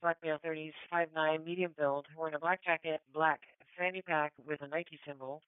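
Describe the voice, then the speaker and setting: American radio dispatcher, mid-pitched, smooth clear voice, clipped and businesslike, narrow phone-like scanner sound. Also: little pitch movement, a little fast, dry recording. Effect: two-way radio. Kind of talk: procedural radio dispatch traffic.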